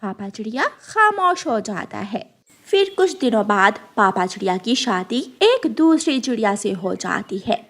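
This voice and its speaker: High-pitched expressive woman storyteller. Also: unhurried. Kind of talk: expressive scripted children's story narration.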